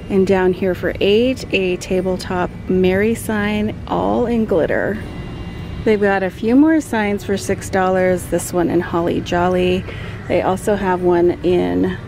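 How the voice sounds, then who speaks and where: A woman vlogger walking a store aisle with her phone, calmly listing decor items and their prices. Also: medium pitch, vocal fry. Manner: calm, listing prices item by item. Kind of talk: shop-with-me narration. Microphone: handheld phone camera.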